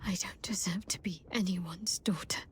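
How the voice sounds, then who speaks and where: An actress voicing a wistful, guarded but tender young woman cleric in a video game, speaking softly and haltingly. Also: fairly low pitch, fairly even intonation, slight background noise, lightly acted. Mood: wistful, troubled. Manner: soft, hushed, halting, earnest. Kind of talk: video game cutscene acting.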